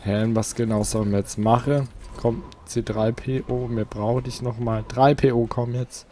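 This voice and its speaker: A man's voice: medium pitch, fairly even intonation, normal speed, mellow, a little hiss or hum. A man giving casual gameplay commentary, muttering to himself as he plays. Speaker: let's player.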